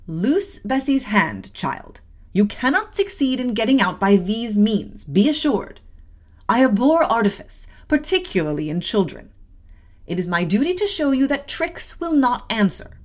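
A woman's voice: a little high, very animated, unhurried, voice pushed up. A woman voicing a cold, stern mistress of the house with a peremptory, formal manner, in a classic novel reading. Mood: cold, commanding. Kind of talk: classic novel audiobook character voice.

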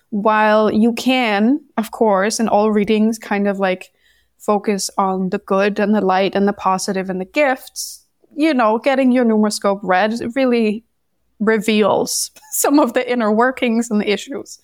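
A woman from Denmark, a podcast guest telling her story in a candid, animated way. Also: a little high, dry recording, clean studio sound. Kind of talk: casual interview conversation.

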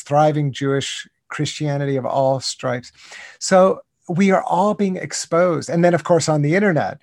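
American man, 60s, gravelly voice, slightly high pitch, dry close sound, earnest and reflective. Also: a little mellow, no room sound. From United States. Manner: thoughtful, earnest, many restarts and "you know". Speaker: guest, contemplative writer. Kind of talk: reflective interview talk.

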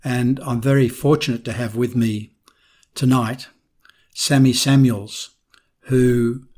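A man hosting an interview, giving introductions and questions in a measured, conversational way. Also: medium pitch, breathy edge.

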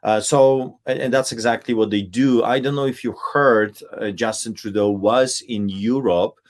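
A man's voice: mid-range voice, steady tone, moderate pace. A middle-aged man from Poland with a Polish accent, a pastor speaking emphatically as a guest over a video call, with many fillers and restarts. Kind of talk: impassioned interview talk.

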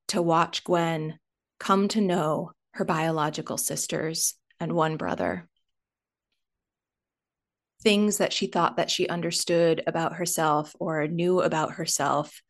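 A woman narrates in a slow, measured way, reflecting thoughtfully on what she has watched unfold. Her voice is on the low side and unhurried throughout. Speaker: narrator, partner of a guest. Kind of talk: scripted narration.

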